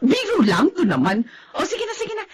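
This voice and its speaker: Chatty, animated Filipino friend. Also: female voice, extremely high pitch, moderate pace, raised voice, full character voice. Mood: teasing, cheerful. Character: chatty, teasing woman friend, confident with babies. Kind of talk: Filipino radio drama acting.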